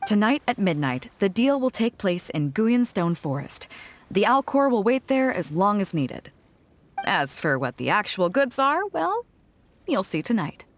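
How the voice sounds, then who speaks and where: A woman's voice: mid-range voice, sing-song, measured pace, a little hiss or hum. A woman playing a confident, easygoing pirate captain in a video game, assured and frank. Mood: explaining, confident. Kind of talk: video game character acting.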